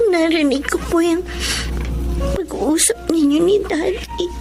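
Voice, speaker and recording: An actress playing a proud young pregnant daughter, tearful and remorseful, in a Tagalog melodrama. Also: female voice, extremely high pitch, measured pace, music in the background. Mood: sorrowful, remorseful. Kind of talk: Tagalog melodrama radio acting.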